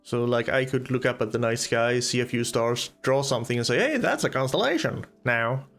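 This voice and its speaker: Man, Swedish accent, casual let's play commentary, dry asides, mid pitch, faint music behind. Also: animated, brisk, a bit bright. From Sweden. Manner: dry, wry asides to the game characters. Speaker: let's player. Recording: voice over game with faint music.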